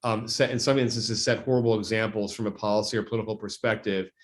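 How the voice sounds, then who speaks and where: A man speaking as a state legislator guest, answering in a measured, deliberate way and often correcting himself. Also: fairly low pitch, steady tone. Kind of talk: interview answers.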